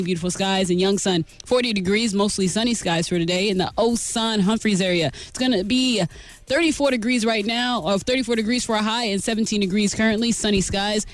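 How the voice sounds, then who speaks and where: High-voiced American man hosting morning radio. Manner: upbeat, chatty. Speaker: radio host, DJ. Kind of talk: morning radio presenting, casual.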